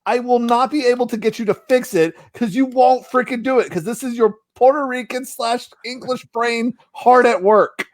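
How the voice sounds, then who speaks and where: A man's voice: extremely high pitch, moderate pace, few pauses. A man with a mixed Northern Californian and East Tennessee accent, co-hosting a casual podcast and joking and laughing a lot. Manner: jokey, laughs often.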